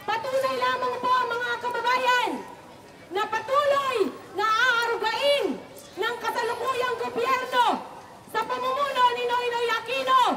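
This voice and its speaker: A Filipino activist delivers a rally speech in an extremely high, unvarying voice. A clear crowd can be heard behind the speech. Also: sharp tone. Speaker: youth activist, rally speaker. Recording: noisy outdoor rally with crowd.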